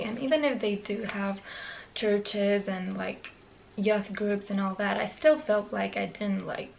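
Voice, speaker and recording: A woman's voice: mid-range voice, gravelly, slight background noise, low-quality recording. A woman in her twenties with a Romanian accent, talking candidly to camera in a small bedroom, rambling with many fillers and restarts. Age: 20s. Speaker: vlogger. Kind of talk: casual talk to camera.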